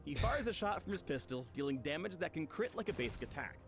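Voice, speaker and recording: Man, animated intonation, scripted game spotlight narration, wry, music behind. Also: a little high, fast.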